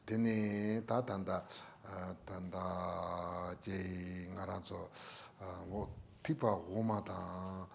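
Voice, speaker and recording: A man giving an unhurried Buddhist Dharma teaching in Tibetan, laughing now and then between points. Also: fairly low pitch, vocal fry, darkish tone, slight background noise.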